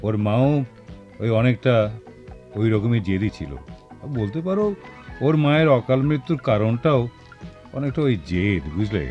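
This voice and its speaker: Actor as a mellow, coaxing old wealthy father. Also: mid-range voice, expressive, normal speed, pauses often, soft background music, natural voice. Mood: resigned, musing. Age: older. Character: ailing wealthy old father, indulgent yet insistent. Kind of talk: Bengali radio drama acting. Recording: dull lo-fi radio drama recording.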